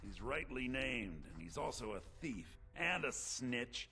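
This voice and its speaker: An actor voicing an embittered, calculating rebel leader in prison in a video game, philosophical and quietly menacing. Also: male voice, medium pitch, animated, measured pace, creaky, slight background noise, exaggerated voice. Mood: cold, commanding.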